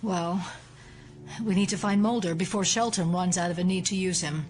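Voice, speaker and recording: An actress playing a resolute, analytical female FBI agent in an audio drama, explaining things seriously and deliberately. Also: on the low side, steady tone, a little mellow, natural voice. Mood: grave, analytical.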